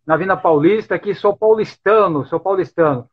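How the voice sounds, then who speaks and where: High-voiced paulistano man, affirming guest. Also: brisk. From São Paulo city, Brazil. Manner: agreeable, frequent short affirmations, praises the host. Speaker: guest expert. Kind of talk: casual live stream chat. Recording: muffled husky online call audio.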